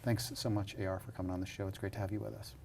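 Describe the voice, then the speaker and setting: Man, interview host, fast pace, fairly low pitch, presentational delivery. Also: flat delivery, creaky, a little mellow, slight background noise. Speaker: interview host, humanities center director. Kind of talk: scripted introduction and interview questions.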